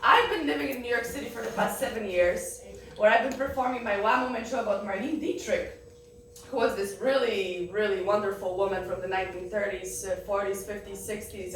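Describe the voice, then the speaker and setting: A woman's voice: on the high side, slight background noise. Polish-born woman telling her story. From Poland. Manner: candid, laughing, halting. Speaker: guest speaker. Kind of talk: personal story at a benefit. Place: event room. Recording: echoey room from far off.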